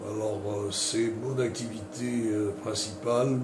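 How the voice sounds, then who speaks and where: A man's voice: on the low side, steady tone, unhurried. Hesitant older man, distant roomy sound. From Canada. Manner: hesitant, many fillers and restarts. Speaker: interviewee. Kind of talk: self-introduction, unscripted. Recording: roomy and distant with clear noise.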